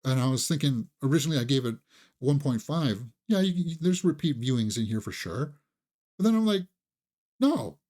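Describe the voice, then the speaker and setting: A man's voice: mid-range voice, expressive, normal speed, a little mellow. A man hosting a casual, conversational film review, enthusiastic and opinionated, sometimes repeating words as he thinks aloud.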